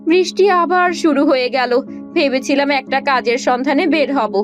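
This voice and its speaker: A woman's voice: high voice, a little fast, soft background music, natural voice. A woman playing a caring, worried wife from a poor village household in a cartoon folk tale, phrasing things carefully.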